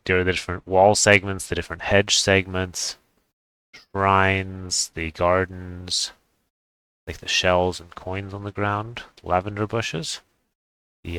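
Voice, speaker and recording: A man with a deep voice and a bright, forward tone talks through a game showcase. He is casual and unscripted, rambling a little as he thinks aloud. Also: unhurried, long pauses, clear, resonant tone, no room sound, poor audio. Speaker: gaming video creator.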